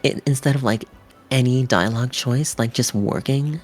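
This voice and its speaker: Very low-voiced woman reading game text. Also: moderate pace. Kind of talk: reading video game narration and tutorial aloud. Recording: music behind the voice.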